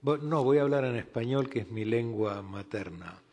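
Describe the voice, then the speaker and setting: A man from Argentina with an Argentine accent, an ambassador speaking from the floor at a conference, measured and reflective with many pauses. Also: mid-range voice, darkish tone. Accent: Argentine Spanish. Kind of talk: formal conference remarks. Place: large conference hall. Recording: reverberant conference hall.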